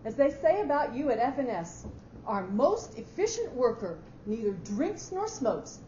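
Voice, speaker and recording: A woman's voice: a little high, sing-song, unhurried, dark tone. Woman playing a brash, indignant office battle-axe, roomy recording. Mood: haughty disapproval. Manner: haughty, sarcastic, emphatic. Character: brash, indignant female office efficiency expert. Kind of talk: staged dramatic reading of a short story. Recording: roomy, muffled live hall recording with hiss.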